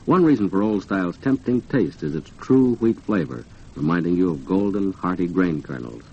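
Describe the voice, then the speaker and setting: A dull old radio recording of an American man with a low pitch and a slightly rough, husky tone. He speaks as a 1940s announcer and co-host. His phrasing is polished and measured. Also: expressive. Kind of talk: 1940s radio announcing and scripted sponsor talk.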